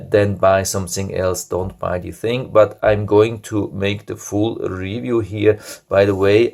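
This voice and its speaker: A man's voice: on the low side, vocal fry, darkish tone. A man giving a casual product review, explaining the features of a device step by step in a plain, matter-of-fact way.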